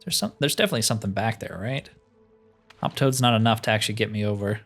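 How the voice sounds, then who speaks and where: A man's voice: fairly low pitch, quick pace, a bit bright. A man giving casual playthrough commentary as a video game host, thinking aloud with self-deprecating humor. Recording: music behind the voice. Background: game music.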